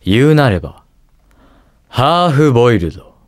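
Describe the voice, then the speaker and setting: Animated, cerebral young detective hero. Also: male voice, mid-range voice, unhurried, no echo, studio quality. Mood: smug, analytical. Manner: calm, precise, smug and curious delivery. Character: cool, inquisitive young male detective superhero, cerebral and teasing. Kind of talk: Japanese video game voice acting, short lines.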